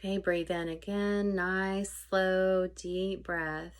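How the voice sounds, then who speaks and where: A woman leading a guided meditation in an informal, conversational way, unscripted, with halting phrasing that trails off softly. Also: mid-range voice, flat delivery, clear, resonant tone.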